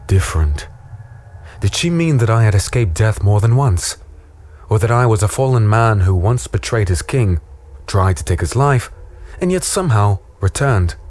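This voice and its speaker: Deep-voiced brooding fallen man. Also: a little mellow. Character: brooding fallen man narrating his own tale. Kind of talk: first-person scripted audio drama narration.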